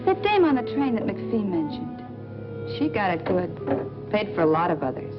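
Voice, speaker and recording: An actress as a jaded, flirtatious noir dame, animated. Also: female voice, medium pitch, moderate pace, lightly acted. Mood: prying, wry. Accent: American. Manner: wry, world-weary banter. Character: jaded, flirtatious small-town woman, wisecracking and lovelorn. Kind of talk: 1940s film noir acting. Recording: dull old film soundtrack, loud music behind.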